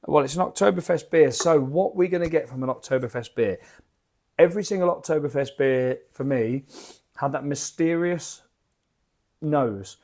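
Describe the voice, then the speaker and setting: A man with a croaky voice from a lingering cough, rambling in a chatty, self-deprecating way as he reviews beer at his home bar into a desk microphone. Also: on the high side, moderate pace, darkish tone.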